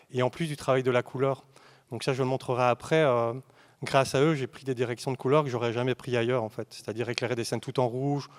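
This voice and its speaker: Man explaining his craft informally. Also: mid-range voice. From Belgium. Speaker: cinematographer, masterclass guest. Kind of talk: informal explanatory talk to an audience. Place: arts venue, live masterclass.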